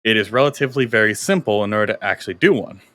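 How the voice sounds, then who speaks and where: Low, bright-voiced male streamer. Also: expressive, very fast. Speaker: streamer and fitness coach. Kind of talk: casual chat on a game stream.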